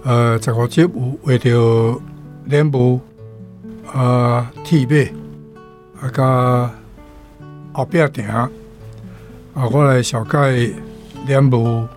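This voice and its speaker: An older Taiwanese-accented man teaches vocabulary at a very slow pace. He moves haltingly through short phrases, with light guitar music behind him. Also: medium pitch, fairly even intonation, darkish tone. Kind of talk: explaining vocabulary in a radio language segment.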